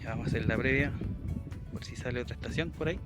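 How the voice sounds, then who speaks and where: A Chilean man speaks in a medium-pitched voice as he works a ham radio outdoors. His manner is courteous and casual, with radio call signs and phonetic spelling. Music plays clearly behind him. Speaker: amateur radio operator and vlogger. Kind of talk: casual field vlog and ham radio exchange. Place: outdoors on a windy hilltop.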